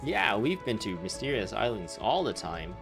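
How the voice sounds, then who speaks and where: A man's voice: lowish voice, sing-song, normal speed, vocal fry. A man giving casual, rambling live commentary as he streams a game, with sarcastic, joking asides. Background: game music.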